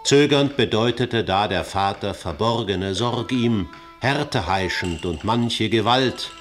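A man narrating a radio play in a measured, solemn epic style. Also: lowish voice. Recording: narration over music. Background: music.

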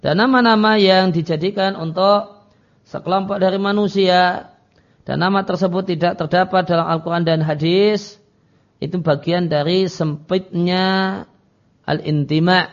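A male Islamic teacher delivers a religious lecture in a slightly high, gravelly voice. He works through a text didactically, moving between Arabic phrases and their explanation. The audio is dull and lo-fi. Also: steady tone, a little slow, long pauses. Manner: didactic, reads Arabic phrases then translates and explains them.

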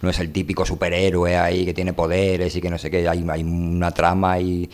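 A man hosting a radio show, talking casually about books in a halting, informal, rambling way. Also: sits low, monotone. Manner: halting, rambling, informal, trailing into filler tags. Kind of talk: casual book discussion.

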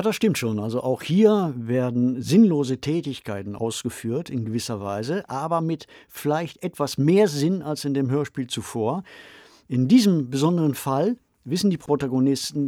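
A man's voice: medium pitch, dark tone, no room sound. A man co-hosting a podcast, giving analytical, conversational commentary in a studio. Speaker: podcast co-host.

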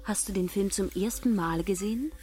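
An actress playing the impersonal, formal questioner of a secretive movement in a radio play, with a detached, scripted manner. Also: female voice, medium pitch, normal speed, warm tone, faint music behind, lightly acted.

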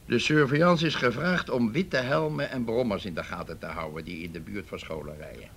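Scornful man, gravelly lowish voice, dismissive, grumbling, noisy radio drama recording. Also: a little fast, fairly bright tone.

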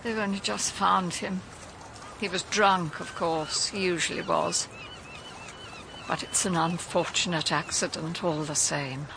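A woman's voice: lowish voice, steady tone, unhurried. An actress playing a genteel, matter-of-fact older woman in a radio drama, with an English accent and a composed manner. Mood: resigned. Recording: outdoor sound effects, clear background noise.

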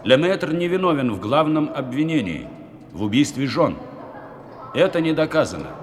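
Brief: radio-play news reporter, formal, higher voice, noisy take. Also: male voice, very animated, moderate pace. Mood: announcing. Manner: formal, announcing delivery. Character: formal male newspaper reporter reading a court report.

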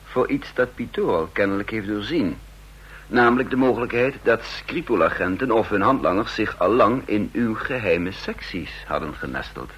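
A cool, shrewd male counterintelligence chief from a vintage radio play, dry and self-assured. with a slightly husky voice and a lively, moving pitch, steering the conversation with ironic ease. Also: normal speed, full character voice. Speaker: narrator and lead character. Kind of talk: vintage radio drama acting.

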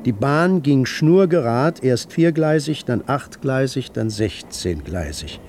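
A man's voice: mid-range voice, expressive, slow pace, a little mellow, slight background noise. A man performing as a grave literary narrator in a radio drama. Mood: grave narration.

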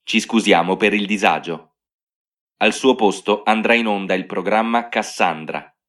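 Man, announcer, lowish pitch, formal scripted delivery. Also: measured pace, fairly bright tone.

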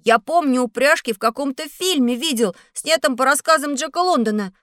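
A high, bright boy's voice with lively swings in pitch. He is a cheeky, eager schoolboy in an educational audio drama, always asking and expressive. The sound is close and dry. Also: fairly quick, no echo. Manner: inquisitive, expressive questioning. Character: curious busy schoolboy Petya, cheeky and eager. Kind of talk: scripted children's educational audio play.

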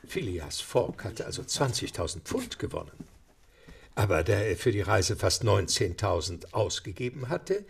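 A man's voice: mid-range voice, gravelly, slightly rough. A man reading scripted narration for a German radio play.

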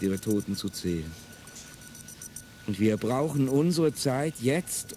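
A man's voice: mid-range voice, darkish tone, a little hiss or hum, close to an everyday voice. An actor playing an embittered male revolutionary in a classic radio drama, brooding and swelling into bitter outbursts, with music behind him. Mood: impassioned.

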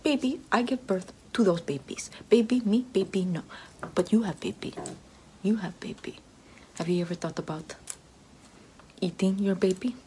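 A woman voicing a smug, egg-laying, baby-eating vampire mother in a breathy whisper with broken baby talk and lots of repetition. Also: medium pitch, moderate pace, pauses often, warm tone, a little hiss or hum, close to an everyday voice, low-quality recording. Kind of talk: whispered comic roleplay.

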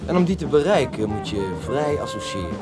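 A man's voice: medium pitch, sing-song, brisk, close to an everyday voice. An actor playing an earnest, self-important male beatnik jazz poet in a radio drama, explaining things pretentiously. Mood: earnest, lofty. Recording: loud jazz club music behind dialogue.

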